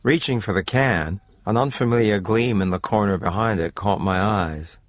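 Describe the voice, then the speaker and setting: A man narrating a first-person radio drama story in a literate, measured storytelling manner. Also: on the low side, noisy background.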